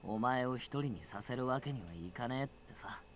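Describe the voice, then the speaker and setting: A man's voice: medium pitch, fast, a little hiss or hum, natural voice. A man voicing an earnest, easily flustered young boyfriend in a Japanese visual novel, speaking in a casual, blunt, caring way with a Japanese accent. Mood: gentle, earnest. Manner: casual blunt masculine speech, rambles when flustered. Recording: music behind the voice.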